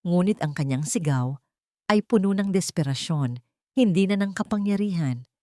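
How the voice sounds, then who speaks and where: A woman narrates a scripted melodrama. Her voice sits on the low side, and she tells it deliberately, with solemn suspense. The recording is dry, with hardly any room around it. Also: animated, dark tone, no room sound. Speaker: story narrator. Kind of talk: dramatic scripted story narration.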